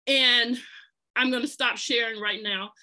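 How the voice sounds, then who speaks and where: A woman's voice: on the high side, steady tone, measured pace, pushed and bright. A woman telling the story of an organization in an earnest, conversational way, partly reading aloud, with fillers and restarts. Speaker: podcast presenter telling an organization's history.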